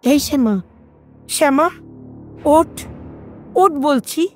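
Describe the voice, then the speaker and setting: An agitated old village grandmother, played by a woman, shrill and very high in pitch. Her words come slowly, with a scolding edge, over faint music in a horror audio drama. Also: expressive. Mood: angry scolding. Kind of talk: audio horror story acting.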